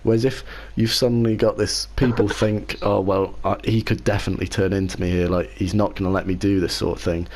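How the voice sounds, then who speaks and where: A man co-hosting a casual racing podcast, talking in a measured way and softening his opinions as he goes. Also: low-pitched, flat delivery. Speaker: podcast co-host and league racer.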